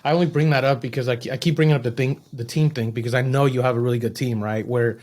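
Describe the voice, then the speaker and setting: A man's voice: medium pitch, steady tone, quick pace, a little mellow. An American man hosting a casual interview, informal and affirming, with slangy phrasing. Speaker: podcast host, interviewer.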